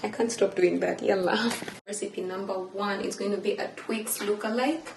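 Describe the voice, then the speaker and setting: Casting: female lifestyle vlogger, informal and expressive, roomy home recording with noticeable noise. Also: medium pitch, normal speed. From Uganda. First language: Luganda. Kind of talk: casual chatty lifestyle vlog. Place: indoors at home. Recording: echoey room with clear background noise.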